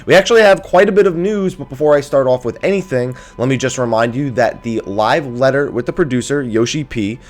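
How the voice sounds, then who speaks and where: A man hosting a casual gaming news update, sounding nasal and stuffy from just waking up, chatty with self-amused asides. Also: mid-range voice.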